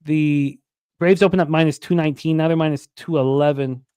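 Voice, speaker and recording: A man hosting a sports betting talk show, running through odds, weather and pitcher stats with plenty of fillers. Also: a little fast, smooth, dry recording. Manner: reads out odds and stats in a steady run, with fillers.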